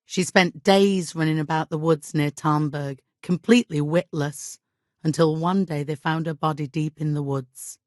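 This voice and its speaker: An actress playing a middle-aged medieval village widow in a video game, devout and guilt-ridden, with an exasperated, imperious and plaintive manner. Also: female voice, low-pitched, fairly even intonation, moderate pace, darkish tone, no room sound, clean studio sound, natural voice. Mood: grave storytelling. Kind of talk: dramatic video game dialogue acting.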